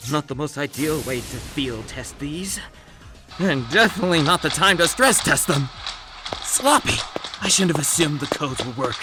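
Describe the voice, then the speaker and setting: A man's voice: mid-range voice, natural voice. A man playing an arrogant, scheming villainous scientist in a comic dub, speaking in precise, wordy, pompous diction. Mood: self-critical, urgent. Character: arrogant, calculating villainous male doctor scientist, theatrical schemer.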